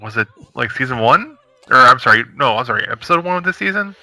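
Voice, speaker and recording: A man in his thirties on a gaming podcast panel, talking fast and bluntly, swearing casually as he gives his opinions. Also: mid-range voice, lively intonation, sharp tone, dry recording. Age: 30s.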